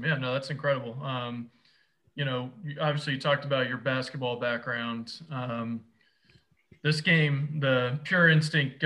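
A man's voice: medium pitch, fairly bright tone. A man co-hosting a podcast, asking loose questions with frequent fillers. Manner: loose and hesitant, many fillers. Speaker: podcast co-host. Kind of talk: podcast interview and read sponsor ad.

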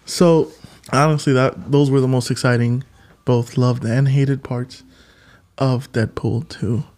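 A man co-hosting a casual movie podcast, animated and playful, often jumping in over his partner. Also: mid-range voice, unhurried. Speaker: podcast co-host.

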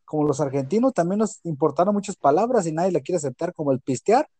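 Animated Mexican man with a mellow voice. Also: a little high, fast, breathy edge, no room sound. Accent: Mexican, Jalisco. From Jalisco, Mexico. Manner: animated storyteller who acts out quotes, with crude slang. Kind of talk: casual chat.

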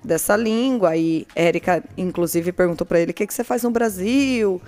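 A woman's voice: lowish voice, moderate pace, darkish tone, soft background music. A woman with a Brazilian accent telling a story casually and playfully, in colloquial language, acting out the dialogue as she goes. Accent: Brazilian Portuguese. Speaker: podcast host telling listeners' dating stories. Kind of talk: casual comic storytelling chat.

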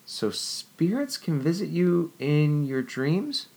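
A wide-eyed, excitable young woman, as a man reading a story puts her on. Her voice jumps high and breathless, tripping over words in a lively, swooping way. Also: takes it slowly, vocal fry, heavily acted. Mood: wonderstruck.

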